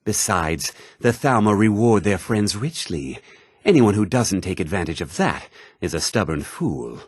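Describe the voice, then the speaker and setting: An actor playing a haughty, self-important nobleman in a fantasy video game, with a husky voice and a pompous, condescending, theatrical delivery. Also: low-pitched, very animated, unhurried, no echo, studio quality, heavily acted. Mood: disdainful. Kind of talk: scripted fantasy game dialogue lines.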